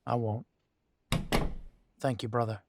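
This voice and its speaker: A man's voice: mid-range voice, fairly even intonation, slow, noisy background. A man playing a protective new werewolf pack leader in an audio roleplay, speaking earnestly and with some hesitation. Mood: grateful, earnest.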